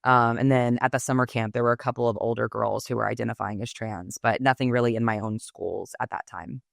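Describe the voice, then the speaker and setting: A woman's voice: extremely low pitch, steady tone, brisk, vocal fry, dry recording, clean studio sound. A woman in her twenties from Texas, answering interview questions in a thoughtful, articulate way, with frequent "um" and "like". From Texas, United States. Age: 20s. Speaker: guest. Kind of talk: podcast interview.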